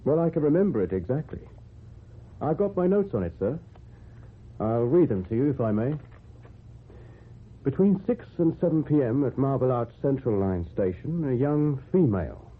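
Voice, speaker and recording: An actor playing a polite, matter-of-fact police constable in a radio drama, formal and stiffly official. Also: male voice, medium pitch, slightly rough. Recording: muffled, tinny old radio recording.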